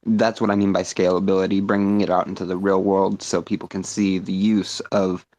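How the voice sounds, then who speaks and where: A man's voice: low-pitched, flat delivery, fairly quick, a little mellow, no room sound. A man giving advice in a casual, conversational, explanatory way in an online audio room. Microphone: live online audio room.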